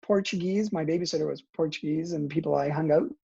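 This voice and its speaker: A man's voice: on the high side, fast talker, vocal fry, dull audio. A man whose first language is Italian, a guest answering interview questions in a thoughtful, hedging way, tagging his points with "right?". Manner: thoughtful, hedging, frequent "you know" and "right?". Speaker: guest, education professor.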